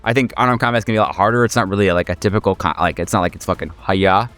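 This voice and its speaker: A man's voice: lowish voice, steady tone, racing, fairly bright tone, clean tone. A man game mastering a horror tabletop game in casual, improvised narration, joking and swearing freely. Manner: profane, joking.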